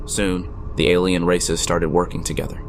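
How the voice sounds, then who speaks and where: A man playing a wry gamer friend who narrates an eerie audio drama in a measured, suspenseful way. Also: deep voice, little pitch movement, over music. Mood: ominous, measured. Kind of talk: dramatic first-person storytelling.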